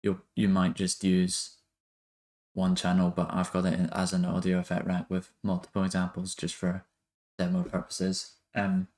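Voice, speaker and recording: A man's voice: deep voice, monotone, normal speed, a little mellow. A man narrating a music production tutorial in a casual, hesitant, improvised way.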